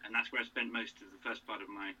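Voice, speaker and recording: A man in his sixties from England, a guest in a casual interview, who talks haltingly with many fillers and restarts. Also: lowish voice, little pitch movement, pushed and bright, slight background noise.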